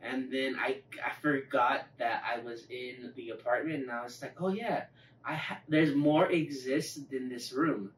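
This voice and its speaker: A man's voice: mid-range voice, measured pace. A man chatting casually and telling rambling personal stories, with plenty of swearing. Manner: swears freely, rambling storytelling. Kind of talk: casual chat. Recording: echoey room, distant mic, faint noise.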